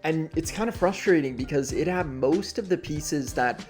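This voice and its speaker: A man hosting a video essay, giving frank, informal, halting commentary straight to the viewers. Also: on the high side, a little mellow, soft background music. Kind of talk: candid casual commentary to the viewers.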